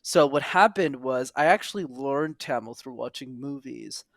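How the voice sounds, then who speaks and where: A young man from Montreal, a podcast guest, telling his story in an earnest, animated way with quick asides. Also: medium pitch, gravelly, dry recording. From Montreal, Canada. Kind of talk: casual interview.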